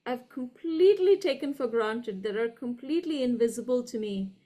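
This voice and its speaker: High-voiced woman with a posh Indian accent. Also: animated, warm tone. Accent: educated upper-class Indian English. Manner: articulate, deliberate, emphatic, often checks with "right?". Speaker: guest, university professor. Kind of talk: reflective conversational interview.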